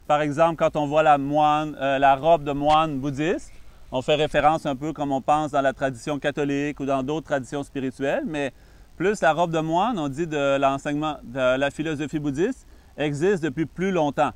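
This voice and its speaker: Calm Québécois man, smooth clear voice. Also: on the high side, dry recording. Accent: Québécois French. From Canada. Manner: calm, patient explaining with frequent pauses. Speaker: Buddhist monk and meditation teacher. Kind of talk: introductory talk on meditation.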